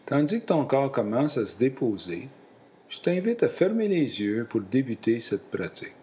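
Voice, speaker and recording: A man leading a guided relaxation in a calm, soothing and deliberate way, in short phrases. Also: medium pitch. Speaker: guided meditation instructor.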